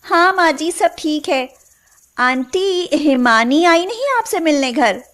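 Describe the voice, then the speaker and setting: Woman playing a very squeaky-high concerned young friend. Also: lively intonation, slow, a bit bright, full character voice. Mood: earnest. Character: concerned, outspoken young female village friend. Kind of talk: cartoon moral story voice acting.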